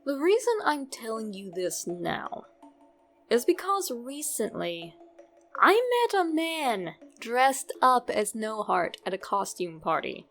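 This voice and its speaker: A woman reading a scripted creepypasta in an expressive storytelling way, with dramatic pauses and drawn-out words. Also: fairly high pitch, soft background music. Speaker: narrator reading a horror story.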